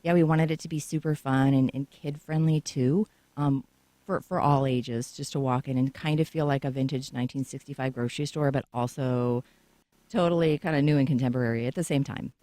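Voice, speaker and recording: A woman's voice: very deep voice, fairly even intonation, a little fast, warm tone, no room sound. A woman co-founder answering interview questions in long, talkative, storytelling stretches, recorded in a radio studio. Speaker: co-founder guest.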